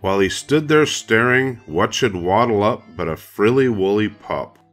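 Older guy reading a kids' picture book, lowish voice, music playing behind him. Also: lively intonation, normal speed, fairly bright tone. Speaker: storybook reader. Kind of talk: scripted children's story narration.

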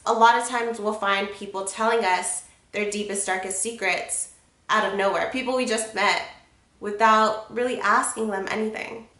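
A woman in her twenties talks straight to camera from her bed, bright and forward in tone, her voice a little congested from a cold. She sounds frank and confiding and swears casually. The bedroom lends a noticeable echo. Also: medium pitch. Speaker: vlogger.

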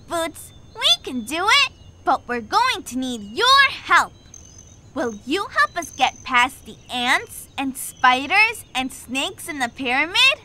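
A woman's voice: high-pitched, noisy background, heavily acted. A cheery girl explorer. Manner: upbeat, encouraging, instructive. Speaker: game guide addressing the player.

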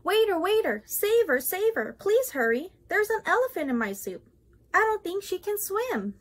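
A woman's voice: very high voice, sing-song, normal speed, voice pushed up. A woman voicing an exasperated, panicky male restaurant diner in a children's storybook, exclaiming and pleading urgently. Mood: panicked.

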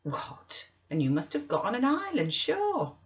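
An excitable countrywoman servant acted out by a female narrator, gossipy and exclamatory. Her pitch swoops high and wide as she chatters. The audiobook sound is a little dull. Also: a little slow. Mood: excited, nosy.

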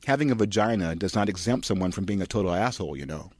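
A man's voice: deep voice, lively intonation, fast talker, dry recording. Man playing a blunt, fed-up husband, dry sound. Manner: blunt, sarcastic. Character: gruff, fed-up tough-guy husband.

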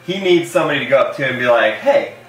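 A young American man co-hosting a casual vlog, chatting to camera in a halting, joking, colloquial way. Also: mid-range voice, animated, a bit bright. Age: young adult. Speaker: co-host. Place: small indoor room with hard table. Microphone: camera a few feet away. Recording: echoey room, distant camera mic.

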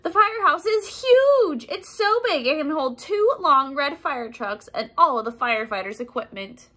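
A woman's voice: high-pitched, normal speed. A young adult woman reading a children's picture book aloud expressively, acting out sound effects and adding simple explanations. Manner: animated, acts out sound effects and exclamations.

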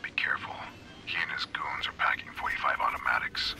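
An actor playing a hushed male informant in a crime noir game, with a whispery, gravelly voice. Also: a bass voice, steady tone, brisk, low-quality recording. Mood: hushed warning.

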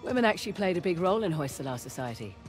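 A woman's voice: fairly low pitch, big pitch swings, racing. An actress playing a wry, adventurous female treasure hunter in a video game, with dry, knowledgeable, teasing delivery. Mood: curious. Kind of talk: video game acting, naturalistic dialogue. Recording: game soundtrack music underneath.

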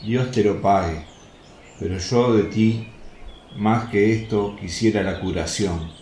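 A slow, humble crippled beggar. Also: male voice, fairly low pitch, darkish tone. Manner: humble, pleading. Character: humble crippled male beggar, pleading and grateful. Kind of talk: radio drama acting. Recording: roomy sound with faint noise. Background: birdsong, outdoor ambience.

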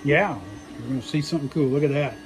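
A man's voice: medium pitch, fast talker, noisy background. A man giving a casual, rambling, appreciative walk-through commentary as a vlog host. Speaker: host filming a shop tour. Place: inside a busy hobby shop. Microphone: handheld phone. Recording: busy shop chatter behind.

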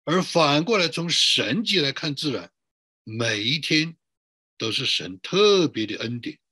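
A male pastor giving a sermon in an emphatic way, building up repeated lists and rhetorical questions, with a husky voice. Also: fairly high pitch, very animated, measured pace, sharp tone, dry recording, studio quality. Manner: emphatic, repetitive listing.

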